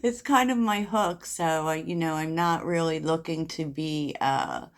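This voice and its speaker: A soft-spoken woman reads tarot in a relaxed, chatty way, wandering off into asides and laughing at her own remarks. Her voice sits low and carries a gravelly fry. She takes her time between phrases. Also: unhurried. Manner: soft-spoken, rambling, giggly, many fillers. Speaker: tarot reader. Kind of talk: casual tarot reading to camera.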